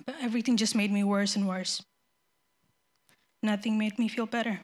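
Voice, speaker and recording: A young woman from Ethiopia giving a nervous, halting personal faith testimony before her baptism, often restarting her sentences. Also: mid-range voice, fairly even intonation, a little mellow. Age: young adult.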